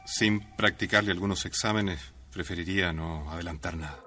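Man acting low-voiced, formal doctor. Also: moderate pace, lightly acted. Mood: grave, cautious. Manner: formal, careful. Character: cautious, formal family doctor. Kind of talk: radio drama acting.

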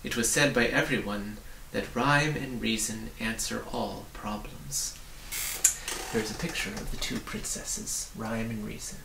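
A man in his forties reading a storybook aloud in a measured, expressive way. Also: fairly low pitch, vocal fry. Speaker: reader. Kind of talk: storybook narration, read aloud. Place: small book-lined home study, indoors. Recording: roomy, distant mic with faint noise.

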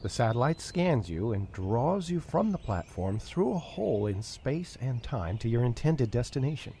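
A man's voice: mid-range voice, sing-song, moderate pace, mellow, slight background noise. A man playing an earnest, cautious technician in an audio drama, explaining things precisely. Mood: matter-of-fact.

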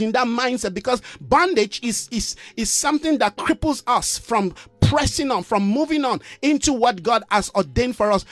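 A man's voice: high-pitched, fairly bright tone, no room sound. A middle-aged man preaching in an online broadcast, emphatic and repetitive, with frequent "amen" and "you know" fillers. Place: indoor study with bookshelves. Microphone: studio mic on a boom arm, close.

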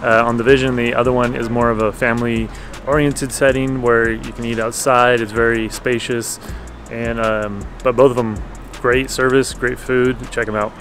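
A man's voice: medium pitch, normal speed. Male travel vlogger, laid-back, mid-range voice, outdoors over background music. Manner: relaxed, with fillers and short laughs. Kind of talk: casual food and travel vlog. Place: outdoors in the city, in wind and rain.

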